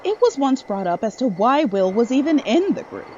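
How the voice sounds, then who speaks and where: A woman narrates a dark audio drama with expressive, swooping intonation. The sound is slightly muffled, with faint noise behind her. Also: a little high, moderate pace. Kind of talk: dramatic scripted horror-story narration.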